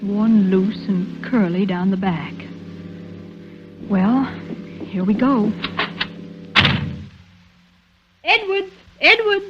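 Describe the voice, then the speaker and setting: A woman's voice: medium pitch, expressive, takes it slowly, background noise. Inquisitive young woman, vintage radio sound. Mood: determined. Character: inquisitive, bold young woman, a detective's well-bred sweetheart. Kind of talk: 1940s radio drama acting. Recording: dull vintage radio recording.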